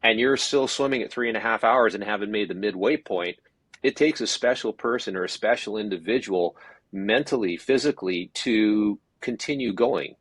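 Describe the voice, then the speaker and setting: A man's voice: on the low side, normal speed, a bit bright, slightly rough, no room sound. A man, a guest on a podcast, talking casually and thoughtfully about organizing open water swims, often restarting his sentences. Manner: thoughtful, rambling with frequent restarts. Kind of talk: casual interview chat.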